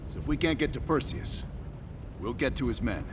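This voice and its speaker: An actor playing a gruff, hard-bitten American covert operations team leader in a military game, speaking in curt, profane orders. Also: male voice, mid-range voice, lively intonation, normal speed, background noise, natural voice. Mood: grim, resolute. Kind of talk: cinematic military game acting.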